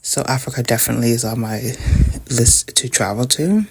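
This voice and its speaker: A woman's voice: a bass voice, normal speed, a little hiss or hum. A middle-aged woman, chatting casually to her viewers while tidying up at home. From Canada. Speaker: vlogger. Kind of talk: casual chat while decluttering.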